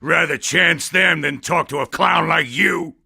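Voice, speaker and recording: Casting: brash game henchman, high piercing rasp, blustering and strained, cocky street tough. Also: a little slow, sharp tone, dry recording, studio quality, full character voice. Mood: defiant. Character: defiant, cocky criminal thug breaking into panic. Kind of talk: video game voice acting, interrogation lines.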